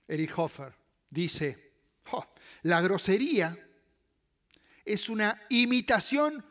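A man preaching a sermon as a pastor in Latin American Spanish with voseo, emphatic and deliberate, repeating key phrases and swinging between loud and hushed delivery. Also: sits high.

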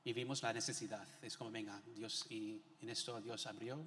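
A man's voice: on the low side, flat delivery, moderate pace, sharp tone, slight background noise. Informal male missionary in a reverberant hall. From United States. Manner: informal, peppers talk with Spain-style filler words. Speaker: missionary guest. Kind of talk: conversational testimony in a church interview. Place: large indoor church hall. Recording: echoey hall with long reverb.

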